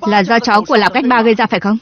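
A woman's voice: a little high, fairly even intonation, rapid-fire, a crowd in the background. A woman reading the scripted voice-over for all parts of a film. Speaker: voice-over reader. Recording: dull lo-fi film dub. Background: film music.

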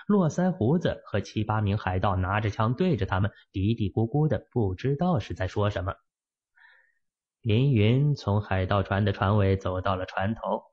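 A man's voice: husky, highs cut off. A man narrating a fantasy novel as an audiobook, telling the story expressively. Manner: expressive storytelling with lively pitch swings.

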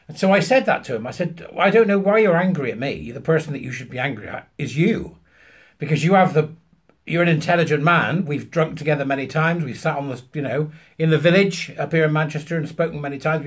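Husky, high-pitched British man in his fifties. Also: expressive, brisk. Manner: emphatic, acting out both sides of a conversation. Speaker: vlogger. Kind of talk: animated anecdote told to camera. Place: small furnished room at home, indoors.

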